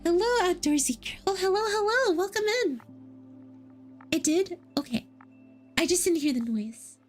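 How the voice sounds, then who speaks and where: A VTuber woman with a very high voice chats casually with her viewers, playful and giggly as she teases them. Faint background music plays behind her. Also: lively intonation, unhurried. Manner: playful and giggly, teasing chat. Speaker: VTuber streamer. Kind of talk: casual livestream chat, answering would-you-rather questions.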